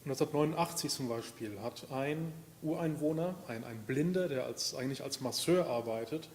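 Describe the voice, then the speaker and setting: A man on a panel explaining a topic in a measured way, with hesitation fillers. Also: medium pitch, darkish tone. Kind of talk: panel discussion. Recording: echoey hall with long reverb, faint noise.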